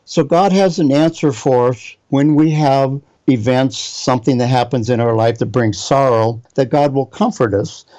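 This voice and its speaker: A man's voice: medium pitch, normal speed, hardly any pauses, dark tone, low-quality recording. A man preaching a Bible teaching sermon, reading scripture aloud and spelling out Hebrew words.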